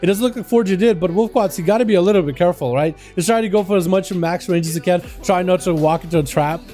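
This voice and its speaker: A young man from Russia with a high yet mellow voice, loosely calling video game duels on a livestream and chatting to viewers as "boys." Faint music plays underneath. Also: quick pace. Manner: chatty, calls out to chat as "boys". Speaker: livestream host and duel caster. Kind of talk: casual game commentary.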